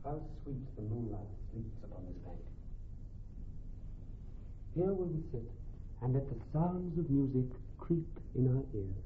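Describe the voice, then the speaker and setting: An actor playing a romantic, alert young gentleman, with formal, poetic delivery in a classical radio drama. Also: mid-range voice, animated, a little slow, slight background noise, natural voice. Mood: tender, dreamy. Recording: tinny narrow-band recording, faint music.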